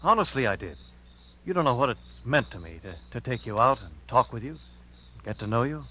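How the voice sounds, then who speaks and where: An actor playing a persuasive, insistent but kind young detective in a 1950s radio drama. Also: male voice, mid-range voice, expressive, a little fast, bright, forceful tone, poor audio. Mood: earnest, pleading. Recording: hissy, humming 1950s radio recording.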